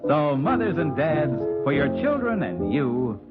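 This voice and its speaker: A man's voice: fairly high pitch, big pitch swings, a little slow. A man announcing a commercial in jolly, upbeat 1950s radio advertising style. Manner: jolly, upbeat sales delivery. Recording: muffled old radio recording. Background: loud guitar music.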